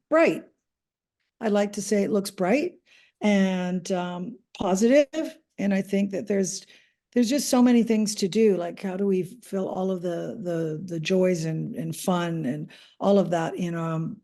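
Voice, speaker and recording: A woman's voice: medium pitch, a little slow, mellow. A Canadian woman, a podcast guest, answering interview questions in a chatty, hesitant way with many ums and restarts. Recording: audio podcast remote recording.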